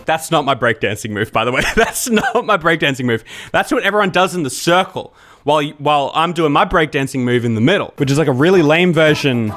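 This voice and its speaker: A man's voice: on the high side, animated, fairly quick, fairly bright tone, soft background music. An Australian man hosting a livestream, chatting casually and jokily with viewers in a rambling, self-interrupting way. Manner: jokey, rambling, self-interrupting, often laughing. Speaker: YouTuber and livestream host. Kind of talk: casual chat answering viewer comments.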